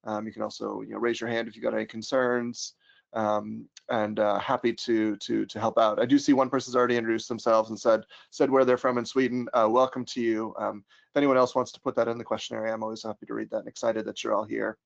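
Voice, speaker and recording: An American man working as a trainer, casually walking teachers through software in a webinar, with frequent ums and restarts. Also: fairly low pitch, level tone, fast talker, gravelly. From United States. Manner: casual, many ums and restarts, upbeat when introducing topics. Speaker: trainer and pedagogical consultant. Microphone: computer mic on a video call. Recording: slightly dull webinar audio.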